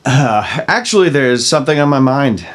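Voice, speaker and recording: A man's voice: mid-range voice, animated, normal speed, a little hiss or hum, lightly acted. An actor in a screenplay table read playing an anxious, stubborn husband and grieving father. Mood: hesitant, shaken.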